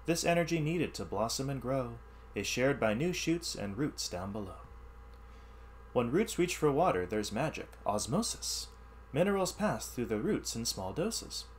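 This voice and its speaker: A man teaching through an expressive read-aloud of rhyming science verse, with explanatory asides in an instructional manner. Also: mid-range voice, measured pace, slight background noise. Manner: animated, instructional.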